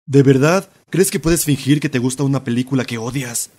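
A man's voice: mid-range voice, a little fast, darkish tone, natural voice. A man with a Latin American accent playing an earnest, meddling, dramatic best friend in a comedy fan dub. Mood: solemn. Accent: Latin American Spanish.

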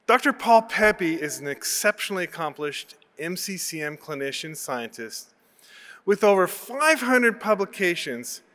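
Man in his sixties, formal introduction speech, measured delivery, forceful bright tone, big echoing auditorium. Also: high-pitched, very animated. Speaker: speaker introducing a lecturer. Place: large auditorium stage. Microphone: podium microphone. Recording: long hall reverb from PA.

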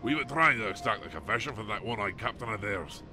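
A man playing a stern, guarded naval commander with a gravelly, formal, commanding delivery in a fantasy drama. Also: lowish voice, lively intonation, fast, music in the background, close to an everyday voice. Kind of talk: fan-made fantasy game drama acting.

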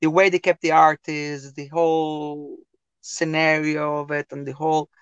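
Middle-aged guy from south america, slightly high voice, dry close webcam sound. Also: level tone, a little slow, a bit bright, clear, resonant tone, no room sound, dull audio. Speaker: guest clown. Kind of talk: casual interview chat. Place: home room with tiled wall. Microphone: webcam on video call.

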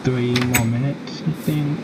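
A man giving casual gameplay commentary in a halting way, with sentences that trail off. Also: mid-range voice, steady tone. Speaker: gaming video host. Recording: very noisy home game recording with clicks.

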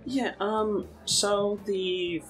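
A young man chatting casually and rambling, often halting and repeating his words. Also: high voice. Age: young adult. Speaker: podcast guest and game maker. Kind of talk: casual chat. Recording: music playing under the talk. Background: guitar music.

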